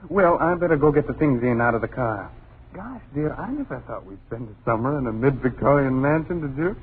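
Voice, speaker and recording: A man's voice: mid-range voice, animated, a little fast, close to an everyday voice. An actor playing a flippant, dismissive young family's father, slangy and teasing, in vintage radio drama style. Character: breezy, wisecracking, dismissive husband and father. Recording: tinny, noisy old radio broadcast.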